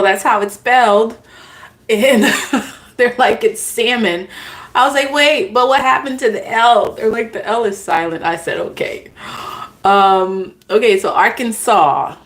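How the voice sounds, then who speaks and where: A woman's voice: medium pitch, fairly bright tone, rough recording. A woman whose first language is not English, casually chatting with viewers in a live stream as a tarot reader, greeting them by name and laughing at herself.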